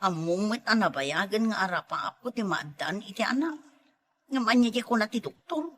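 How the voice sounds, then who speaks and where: A sharp, bright-voiced wife in an Ilocano comedy drama played by a woman, irritable and quietly sorrowful. She speaks with an Ilocano accent, in a forceful, cutting tone. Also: mid-range voice, lively intonation, moderate pace, slight background noise, close to an everyday voice. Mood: sullen, hurt.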